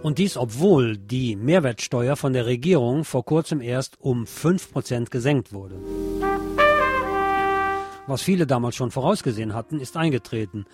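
A man's voice: medium pitch, animated, normal speed. A man presenting radio news with sardonic, opinionated commentary. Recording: music bed under the voice. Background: music.

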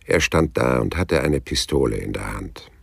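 An actor playing a terse, world-weary private detective with a gravelly voice and a dry, blunt manner in a radio crime drama. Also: male voice, rumbling low, expressive, moderate pace, heavily acted. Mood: brooding narration. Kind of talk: radio crime drama acting and first-person narration.

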